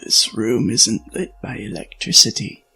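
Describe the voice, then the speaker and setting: An actor playing an old, weary scientist in a science fiction audio drama, speaking in a measured, explanatory way with a creaky voice. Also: male voice, fairly low pitch, sing-song, sharp tone, full character voice. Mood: wistful, grave. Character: old, worn male scientist, weary, reflective friend. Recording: music under the voice.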